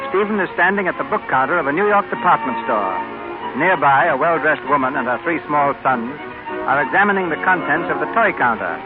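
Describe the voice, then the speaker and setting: A man announcing and narrating an old radio theater broadcast in a formal 1930s style. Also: a little high. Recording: tinny old radio recording with music.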